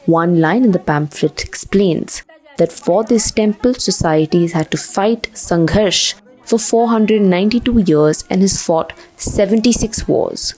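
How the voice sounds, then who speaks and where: A woman's voice: mid-range voice, extremely slow, a little mellow, low-quality recording. A woman with an Indian accent reading scripted narration as the reporter of a news video.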